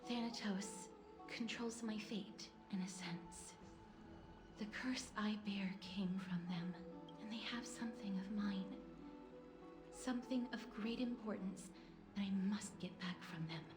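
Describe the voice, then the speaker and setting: A woman playing a gentle, solemn young maiden in a video game, speaking politely with quiet reserve. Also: medium pitch, a little slow, close to an everyday voice. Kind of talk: scripted video game dialogue. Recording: echoey, with music behind. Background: music.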